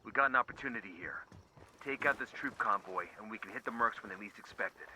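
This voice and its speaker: An actor playing a determined special forces squad leader in a video game, terse and direct. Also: male voice, lowish voice, fast, very noisy, lightly acted. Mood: focused. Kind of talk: video game cutscene acting.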